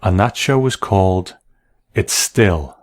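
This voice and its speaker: A man's voice: deep voice, expressive, slow. A man presenting scripted narration as the narrator of a show.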